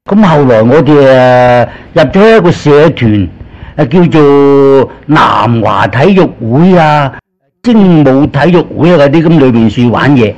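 A man's voice: medium pitch, lively intonation, a little slow, darkish tone, highs cut off. An older man with a Cantonese accent, casually reminiscing in an interview as a chatty storyteller. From Guangzhou, China. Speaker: interviewee, veteran actor.

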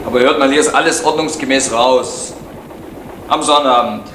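Actor as an indignant postmaster on the phone, mid-pitched, roomy and noisy old recording. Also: male voice, moderate pace, a bit bright, lightly acted. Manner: indignant, defensive. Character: indignant male village postmaster.

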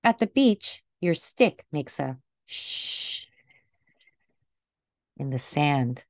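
A woman reading a picture book aloud to children in a gentle, deliberate way, recorded indoors over a video call. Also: sits low, sing-song, dry recording, clean studio sound. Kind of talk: read-aloud storytelling for children.

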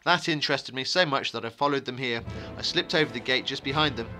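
A secretive wandering man of a fantasy tale, acted out by a male reader. He is calm, wary and commanding, with a brisk, ominous delivery. His mid-pitched voice has a bright, tense edge. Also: faint music behind, lightly acted. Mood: measured, amused.

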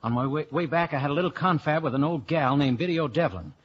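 A man's voice: on the high side, lively intonation, fast, husky. An actor playing a breezy, slangy young man who also narrates in first person, in a 1950s radio drama on a dull broadcast recording. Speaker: narrator and lead character.

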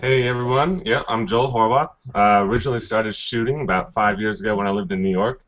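A man's voice: fairly low pitch, fairly quick, fairly bright tone, highs cut off. A man in his thirties from Texas, a photographer guest chatting on a webcam call in an easygoing way full of fillers. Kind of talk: casual video call chat. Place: room at home. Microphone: webcam video call.

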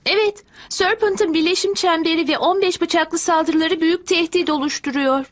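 A woman's voice: very high voice, normal speed. A woman voicing a concerned young friend in a dubbed cartoon, earnest and worried.

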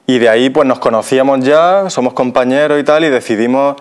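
A man's voice: mid-range voice, fairly quick, clean tone. A man in his thirties with an accent from Spain, chatting as an interview guest. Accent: Spanish (Spain). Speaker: interview guest, trained voice performer. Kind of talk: casual interview talk. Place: TV studio.

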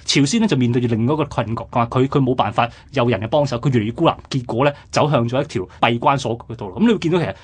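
Husky, fast-talking Hong Kong Cantonese man. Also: mid-range voice. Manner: colloquial, explanatory, rhetorical questions. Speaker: radio history commentator. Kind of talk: conversational history explanation.

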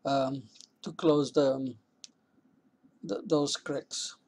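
An older man casually explaining a woodturning project in his workshop, plain-spoken and halting. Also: mid-range voice, fairly even intonation, darkish tone. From South Africa. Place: small indoor cluttered woodworking shop.

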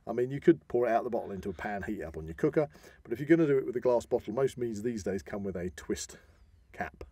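A man's voice: on the low side, lively intonation, fast talker, mellow, breathy edge, no echo. A man co-hosting a podcast in casual chat, talking animatedly and emphatically with rambling asides. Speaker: podcast co-host. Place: podcast studio.

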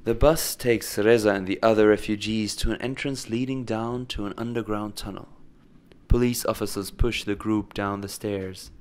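A man narrating a scripted story in a measured, solemn way. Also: lowish voice, steady tone. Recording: faint noise and ambience behind.

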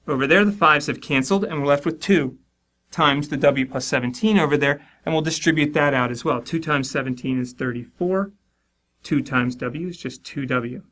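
A man's voice: on the high side, fairly bright tone. A man in his forties teaching a math lesson at a board, explaining each step with dry, self-deprecating humor. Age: 40s. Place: studio in front of a dark board.

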